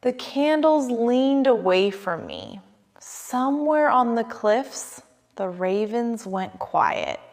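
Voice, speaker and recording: A woman playing a proud, melancholy, centuries-old female spirit who sings death, narrating a gothic audio drama in a solemn, hushed manner. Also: a little high, sing-song, vocal fry, full character voice. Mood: hushed, haunted. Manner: solemn, hushed, deliberate storytelling delivery. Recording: long cavernous reverb.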